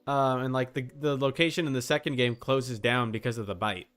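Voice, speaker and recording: A young adult man chatting casually as he streams a game, rambling and halting with repeated words. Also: medium pitch, fairly even intonation, fairly bright tone, soft background music. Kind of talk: casual livestream chat while gaming.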